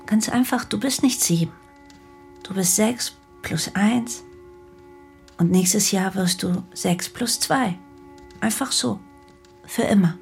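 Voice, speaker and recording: A woman voicing a weary, battered young mother who speaks softly and pleadingly in an audiobook. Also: medium pitch, slow, vocal fry, long pauses, faint music behind. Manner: soft, pleading, often half-whispered. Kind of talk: audiobook dialogue reading.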